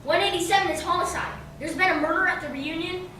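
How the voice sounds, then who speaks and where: Woman playing an earnest detective, high voice, echoing hall. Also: fast talker, sharp tone. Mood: concerned, businesslike. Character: earnest male police detective at a high school reunion. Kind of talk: old-time radio drama acting. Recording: very echoey hall, distant mic, faint noise.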